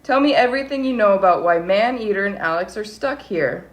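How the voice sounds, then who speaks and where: Woman as a pointed, insistent amateur investigator, medium pitch. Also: lively intonation, brisk, lightly acted. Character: determined, suspicious female character questioning tight-lipped locals.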